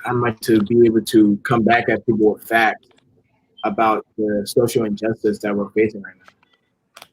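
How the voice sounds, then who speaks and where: Low, flat-voiced young man. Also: normal speed, husky, low-quality recording. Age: young adult. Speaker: panel guest. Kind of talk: casual discussion.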